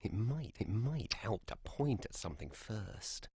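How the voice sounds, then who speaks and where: A man's voice: fairly low pitch, very animated, slow pace, a little mellow, husky, no echo, clean studio sound, full character voice. A man playing an earnest, hesitant young weaver hero in a video game. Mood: mild puzzlement. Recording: game music behind the voice.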